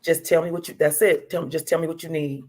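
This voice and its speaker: A woman's voice: low voice, flat delivery, fast, darkish tone. A woman in her fifties, talking casually as a guest and repeating herself for emphasis. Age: 50s. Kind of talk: casual chat.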